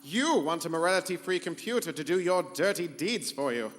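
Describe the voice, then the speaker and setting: Casting: male actor as rogue spaceship computer, haughty and scheming, higher-set theatrical delivery with swooping intonation, live hall. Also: lively intonation, a little fast, close to an everyday voice. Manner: pompous, theatrical, formal wording. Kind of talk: live comedic sci-fi radio play in 1940s serial style. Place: convention hall stage before live audience. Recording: echoey live hall, humming background noise.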